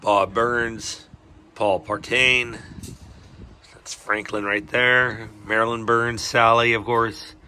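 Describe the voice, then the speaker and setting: A man losing his voice, chatting excitedly as he films a walking tour outdoors at a roadside barbecue spot. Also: mid-range voice, slow pace, a little hiss or hum. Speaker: vlogger touring a film location. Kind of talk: casual walking tour commentary.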